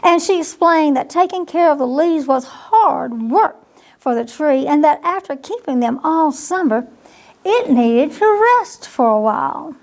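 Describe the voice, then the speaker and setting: A woman narrates a children's bedtime story in a high voice. She takes her time and reads expressively, often turning to young listeners with a question. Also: lively intonation, unhurried, a little mellow. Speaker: storytime reader at a library.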